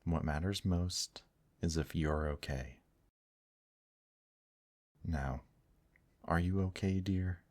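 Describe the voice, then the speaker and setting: A man playing a gentle, protective male demon in an intimate ASMR roleplay, speaking softly, tenderly and reassuringly to the listener. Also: low voice, no echo, clean studio sound. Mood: firm, caring. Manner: soft, tender, reassuring, unhurried.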